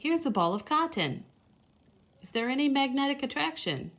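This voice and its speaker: A woman's voice: sits high, very animated, moderate pace, a bit bright. A woman giving a hands-on read-aloud lesson for young children, explaining simply and step by step as she thinks aloud. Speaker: children's teacher reading aloud and demonstrating. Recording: noticeable background noise, homemade video.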